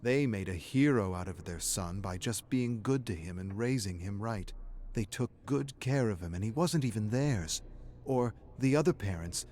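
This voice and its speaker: A man narrating a dark horror story in a dramatic, scripted style, with a measured, grave and brooding delivery. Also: lowish voice, animated.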